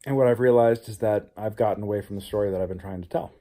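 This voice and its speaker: Low, mellow-voiced earnest man. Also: steady tone, fast talker. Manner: earnest, introspective. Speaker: playwright, show host. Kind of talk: reflective personal vlog talk.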